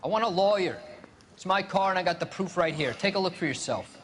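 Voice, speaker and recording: An actor playing a defiant small-time car thief in a 1940s crime video game, curt and evasive. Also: male voice, high voice, animated, brisk, fairly bright tone, a little hiss or hum.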